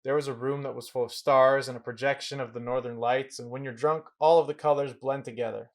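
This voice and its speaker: An actor playing a restless, observant young romantic, narrating in a measured, introspective way for an audio drama. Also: male voice, mid-range voice, steady tone, close to an everyday voice. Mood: amused.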